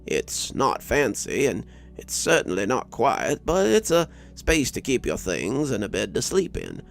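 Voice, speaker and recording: Kindly, plain-spoken father, acted by a male narrator, gravelly and lowered, for an audio story. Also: huge pitch swings, unhurried, faint music behind, full character voice. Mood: welcoming.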